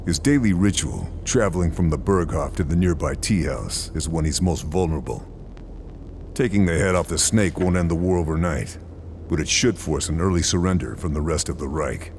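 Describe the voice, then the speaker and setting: Wartime intelligence officer, very low voice, grave, measured, scripted game briefing, faint rumbling noise behind. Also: male voice, darkish tone. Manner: measured, serious. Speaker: mission briefing officer narrator. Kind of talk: scripted military briefing narration.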